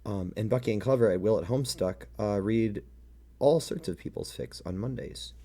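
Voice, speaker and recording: Mellow, halting male streamer in his thirties. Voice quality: deep. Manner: self-deprecating, halting. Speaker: streamer reading own fan fiction. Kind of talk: casual livestream chat with viewers.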